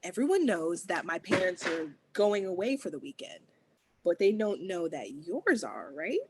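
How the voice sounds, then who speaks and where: A woman's voice: fairly low pitch, very animated, moderate pace, darkish tone, husky. A woman reading the part of a scheming teenage girl who begs her friend for a favor, at a table read. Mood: pleading.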